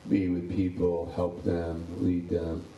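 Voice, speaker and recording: Man, 60s, pastor, low voice, rambling chat, echoey room. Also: little pitch movement, slow, dark tone, distant mic, muffled recording, slight background noise. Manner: rambling, repeats words, upbeat. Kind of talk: casual interview chat.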